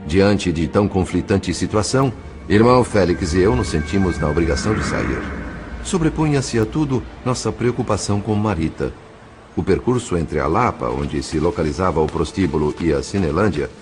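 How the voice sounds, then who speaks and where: A low-pitched Brazilian man narrates a drama in solemn, literary phrases. His intonation swings expressively, and music plays clearly behind him. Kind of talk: dramatic scripted audiobook narration.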